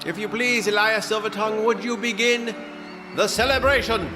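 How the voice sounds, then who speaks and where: A man's voice: high-pitched, steady tone, normal speed, lightly acted. An actor playing a proud fantasy duke in an audio drama, formally proclaiming to his citizens. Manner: formal, declamatory. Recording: long hall reverb over music.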